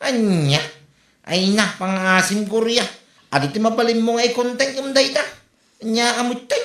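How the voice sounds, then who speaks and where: A man's voice: very high voice, normal speed, fairly bright tone, echoey room. A man with a Filipino Ilocano accent acting a grumbling, scolding neighbour in a comedy drama.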